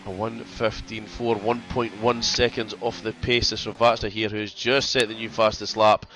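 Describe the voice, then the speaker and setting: A man's voice: lowish voice, little pitch movement, moderate pace, a bit bright, soft background music. A man doing casual sim racing commentary, congested from a cold, chatty and prone to repeating himself.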